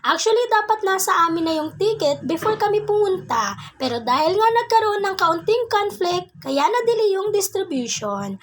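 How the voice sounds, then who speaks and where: A woman's voice: extremely high pitch, big pitch swings, moderate pace. A young woman with a Filipino accent narrating a casual vlog in a playful, giggly way, mixing in English phrases. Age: young adult. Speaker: vlogger.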